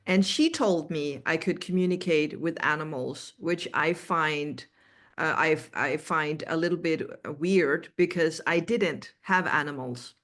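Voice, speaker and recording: Slow-speaking middle-aged Danish woman, lowish voice. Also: a bit bright. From Denmark. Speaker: interview guest, animal communicator. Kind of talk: explanatory interview talk.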